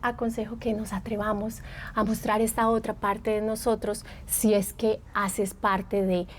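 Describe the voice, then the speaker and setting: A middle-aged woman from Medellín with an Antioquian Colombian accent, speaking as a psychologist guest in a relaxed podcast chat, reflective and quick to laugh. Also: mid-range voice, a little hiss or hum. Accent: Antioquian Colombian Spanish. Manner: warm, reflective, laughs often, uses fillers. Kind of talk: casual podcast conversation.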